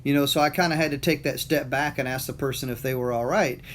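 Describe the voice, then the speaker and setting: A man's voice: mid-range voice, steady tone, quick pace, slight background noise. A middle-aged man with a Southern US accent, talking casually and motivationally, rambling with many fillers and repeated words. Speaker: motivational talker. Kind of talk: casual monologue.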